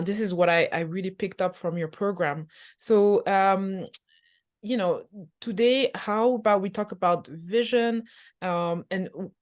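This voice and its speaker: A woman hosting a career podcast, speaking with a hesitant delivery full of filler sounds. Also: fairly low pitch. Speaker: podcast host, career coach. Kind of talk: podcast interview.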